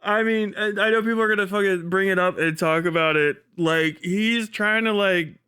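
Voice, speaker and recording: A man's voice: high voice, normal speed, bright, forceful tone, clean tone. A man hosting a casual livestream, talking in a sweary, dismissive, sardonic way. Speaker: livestream host.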